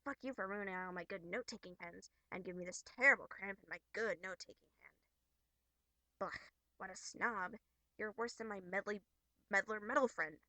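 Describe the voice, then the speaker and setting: A woman's voice: medium pitch, a little fast, sharp tone, dry recording, voice pushed down, natural voice, low-quality recording. A snide young troll girl, as acted by a woman in an amateur webcomic performance. She drawls her sarcasm with haughty exasperation and open mockery. The recording is dry, with no room sound. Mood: exasperated. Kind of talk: amateur character voice acting from a webcomic.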